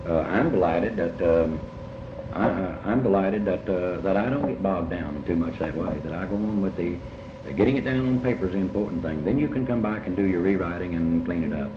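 Halting older man, deep voice. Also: expressive. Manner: halting, rambling, with many repetitions and long hesitations. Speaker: writer and lecturer being interviewed. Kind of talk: reflective interview conversation. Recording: muffled, echoey, noisy amateur interview tape.

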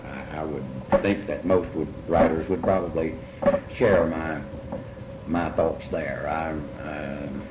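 A man with a low-pitched voice and an Appalachian American accent speaks in a reflective interview. He is deliberate and halting, circling back over his phrases. The recording is muffled and roomy, with strong background noise. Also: fairly even intonation. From Ohio River and Big Sandy region of Appalachia, USA. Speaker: writer being interviewed. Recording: muffled, echoey, noisy old interview tape.

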